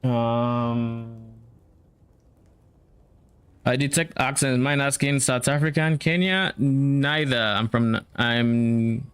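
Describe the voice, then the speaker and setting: Smooth-voiced Nigerian-Canadian man. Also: mid-range voice, takes it slowly, fairly bright tone. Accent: mix of Nigerian and Canadian. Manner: thinking aloud, musing and self-questioning. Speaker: game streamer. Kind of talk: live stream, casual chat while planning a game build.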